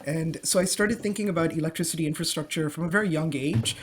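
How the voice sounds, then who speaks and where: A man from Dubai, a company co-founder, answering interview questions over a remote call with energetic explanations and frequent "um" and "you know.". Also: a little high, fast talker, slight background noise. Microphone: calling in remotely.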